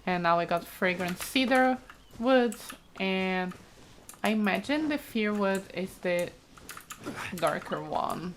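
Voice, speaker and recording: A woman's voice: medium pitch, animated, slow pace, long pauses, slight background noise. A woman giving casual, chatty gameplay commentary, thinking aloud as she plays. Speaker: let's play host. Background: game music, keyboard clicks.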